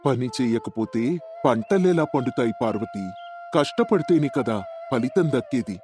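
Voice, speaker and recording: A man's voice: mid-range voice, expressive, normal speed, dark tone, faint music behind, close to an everyday voice. A man playing a kind, hardworking village farmer in a storybook tale, earnest and reassuring.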